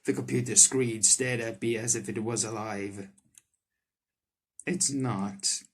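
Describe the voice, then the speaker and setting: A man doing let's play commentary on a visual novel, reading the text aloud and reacting to it excitably. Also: medium pitch, slow. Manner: excitable, reacts in sudden loud outbursts. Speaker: streamer playing a visual novel. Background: soft game music.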